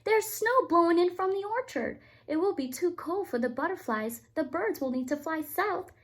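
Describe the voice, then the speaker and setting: A woman's voice: very high voice, expressive, normal speed, pitched above the performer's own voice. A woman voicing an earnest, worried young male fox from a storybook, giving urgent, emphatic warnings.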